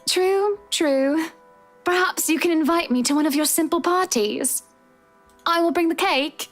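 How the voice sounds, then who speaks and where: An actress playing a polite, hesitant wife in a visual novel, speaking in a measured, careful way. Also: female voice, very high-pitched. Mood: polite teasing. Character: wife gently asking her husband for a separation. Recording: music behind the voice.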